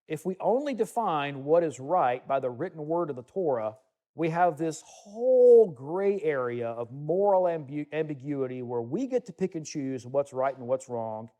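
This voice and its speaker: A man's voice: a little high, animated, moderate pace, warm tone. A man giving a teaching sermon to listeners in a room, reading scripture aloud and adding conversational asides. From United States. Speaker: Bible teacher.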